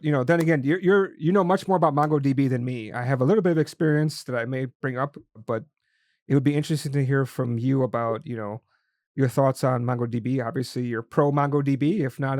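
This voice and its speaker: A man's voice: mid-range voice, a little mellow, dry recording, clean studio sound. A man hosting an interview podcast, chatting loosely and thinking aloud as he sets up questions. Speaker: podcast host. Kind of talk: casual interview chat.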